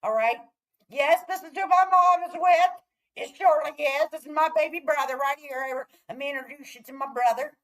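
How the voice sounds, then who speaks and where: A woman's voice: high-pitched, normal speed, fairly bright tone, low-quality recording. A woman hosting a casual livestream, chatting to viewers in a brash, profane way. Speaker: livestream host.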